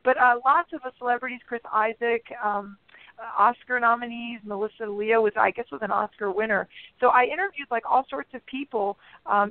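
Slightly raspy chatty woman over a phone line. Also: a little high, dry recording. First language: English. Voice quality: a bit raspy today. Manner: chatty, rambling with many fillers. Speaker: guest. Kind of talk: casual interview chat. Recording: phone-line radio sound.

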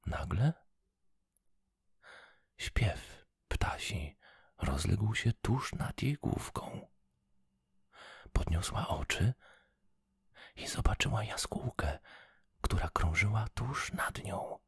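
A man reading a fairy tale aloud in a soft, slow whisper. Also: fairly low pitch, animated, gravelly, husky, no room sound, studio quality. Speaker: storyteller.

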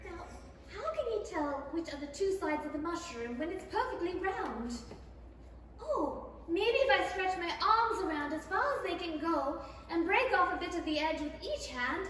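A very high, girlish voice, polite and bewildered, in the manner of an old radio play. It rings around an echoey hall, some way from the microphone. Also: big pitch swings, normal speed, fairly bright tone, heavily acted. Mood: puzzled. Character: Alice, polite, bewildered little girl. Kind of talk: 1930s radio play acting. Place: theatre stage, reading from script. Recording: echoey hall, distant, faint noise.

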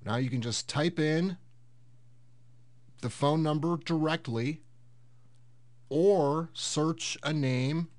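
A man hosting a casual software tutorial, explaining things step by step in an unhurried way. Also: fairly high pitch. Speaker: host of a tech tutorial series.

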